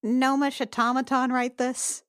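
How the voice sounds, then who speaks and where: A woman's voice: sits high, level tone, unhurried, clean tone, no echo, clean studio sound. A woman in improvised comedy banter, asking questions with mock bafflement. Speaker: book club podcast performer.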